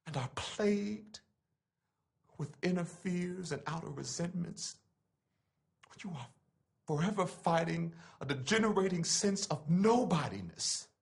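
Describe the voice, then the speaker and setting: A man's voice: high-pitched, lively intonation, unhurried, creaky. A man giving a dramatic recitation of a written letter in an oratorical, impassioned manner with emphatic phrasing.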